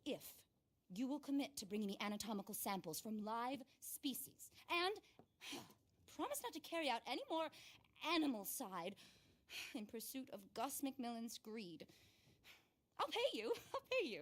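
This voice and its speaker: High-pitched, fervent lady naturalist. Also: slightly rough. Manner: fervent, articulate, theatrical. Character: eccentric, impassioned animal-loving woman naturalist in the Old West. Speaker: field naturalist. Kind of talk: theatrical video game cutscene acting.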